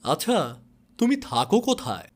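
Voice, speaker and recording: Man as a tender cartoon woodcutter dad, highish voice, lively swooping pitch, coaxing. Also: moderate pace, heavily acted. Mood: astonished. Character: kindly woodcutter father, tender and curious. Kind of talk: animated moral story character acting.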